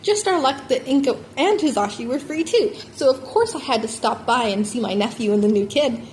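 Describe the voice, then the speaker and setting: A woman voicing a loud, friendly, teasing mother and aunt in an amateur story reading. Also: high voice, animated, fairly quick, slight background noise. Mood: big, cheerful. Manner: boisterous, teasing.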